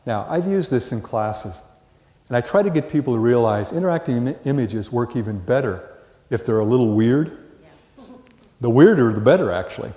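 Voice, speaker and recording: A man's voice: mid-range voice, expressive, normal speed, mellow. An older man with an American accent lecturing to a class, folksy and full of rhetorical questions. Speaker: college lecturer. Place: large indoor classroom or lecture hall. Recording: echoey lecture hall with long reverb.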